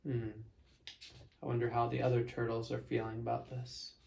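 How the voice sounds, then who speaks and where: A man reading a children's storybook aloud as narrator, with expressive, dramatic emphasis. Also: medium pitch, normal speed, vocal fry.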